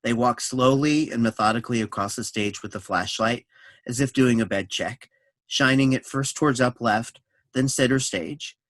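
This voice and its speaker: A man's voice: medium pitch, moderate pace, a bit bright, no echo. A man reading scripted stage directions as narration for a staged reading of a play.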